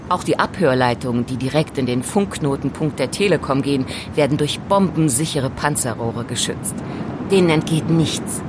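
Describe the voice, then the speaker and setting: Casting: actress as elegant young woman informant, very low voice, calm, knowing and teasing, for radio drama; noisy rumbling backdrop. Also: close to an everyday voice. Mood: knowing, pointed. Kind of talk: German audio drama acting.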